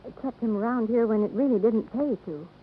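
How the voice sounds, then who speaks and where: A woman acting as a soft-hearted, resigned ranch wife in a 1950s radio drama, measured and reflective, with an American accent. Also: on the high side, natural voice. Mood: resigned, pitying. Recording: tinny, faintly noisy vintage radio.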